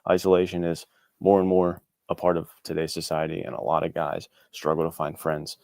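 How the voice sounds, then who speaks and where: Very deep-voiced man, earnest and casual. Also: little pitch movement, fairly quick, a little mellow, dry recording, studio quality. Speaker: interview guest, indie author. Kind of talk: casual chat, reflective answers.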